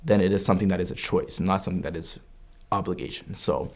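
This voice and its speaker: Deep-voiced earnest young man. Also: fairly even intonation, fast, darkish tone. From Canada. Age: 20s. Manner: earnest, reflective, with frequent fillers and restarts. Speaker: religious studies student. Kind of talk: personal testimony to camera.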